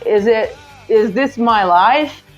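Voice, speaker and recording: Slow-talking Portuguese woman in her forties. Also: on the high side, muffled recording, soft background music. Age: 40s. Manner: chatty, hesitant, many fillers. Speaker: podcast host. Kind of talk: casual chat.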